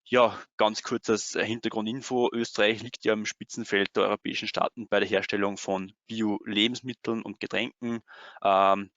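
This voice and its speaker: A man delivers a formal, composed welcome to an online audience. His low voice stays very flat in tone. The audio is dry and a little muffled, like a computer microphone on a call. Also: normal speed, dry recording. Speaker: project manager presenting.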